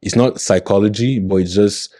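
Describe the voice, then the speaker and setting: Deep, earnest young man. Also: normal speed, warm tone, dry recording, clean studio sound. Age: 20s. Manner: earnest, motivational, often checks in with "you know what I mean". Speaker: podcast guest. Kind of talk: casual podcast conversation.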